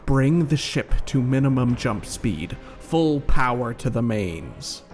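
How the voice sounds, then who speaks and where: A man's voice: mid-range voice, animated, slow pace, a little mellow, faint music behind. A man voicing a commanding alien warrior empress who barks battle orders in a storybook reading. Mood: urgent command. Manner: barking, imperious.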